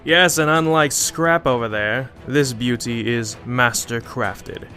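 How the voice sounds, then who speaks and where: A man playing a dry, learned monster hunter in an audio drama, with a measured and wry delivery. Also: medium pitch, pushed and bright. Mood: wry, composed. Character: dry, knowing male monster hunter with crossbow. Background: background music.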